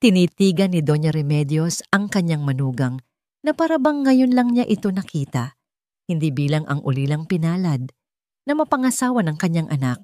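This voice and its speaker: A woman's voice: fairly low pitch, warm tone, no room sound, studio quality. A woman giving a dramatic scripted narration of a romance audio story.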